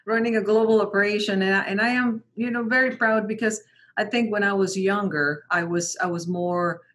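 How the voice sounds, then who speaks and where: A woman's voice: medium pitch, a little fast. A middle-aged woman from Mexico whose first language is Spanish, telling her story as an interview guest, often restarting and repeating words. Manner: frequent restarts and repeated words, animated quoting of others. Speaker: guest, translation company founder.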